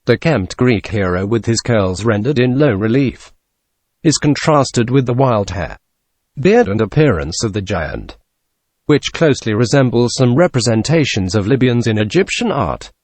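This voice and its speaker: A man's voice: medium pitch, big pitch swings, moderate pace, darkish tone, dry recording. A man giving a scripted read-aloud of academic text. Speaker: test-practice narrator reading passages.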